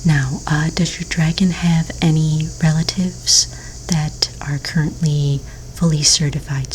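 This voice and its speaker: Woman as patient fantasy-registry office manager, ASMR roleplay. Low, soft, breathy near-whisper, gentle and unhurried, keyboard typing and background noise. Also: low-quality recording. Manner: gentle, unhurried, hesitant pauses while checking paperwork. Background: computer keyboard typing.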